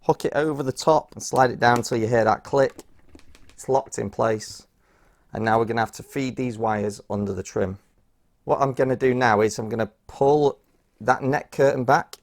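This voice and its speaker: Gravelly-voiced man giving a practical tutorial. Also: medium pitch, moderate pace. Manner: practical, instructional, informal. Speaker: presenter of a how-to product guide. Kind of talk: hands-on step-by-step tutorial narration.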